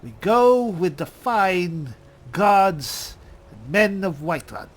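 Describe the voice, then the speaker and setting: A man voicing a wry high elf spellsword and reluctant leader in a video game roleplay, with dry, halting, deliberate delivery. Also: sing-song, full character voice. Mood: wry rallying.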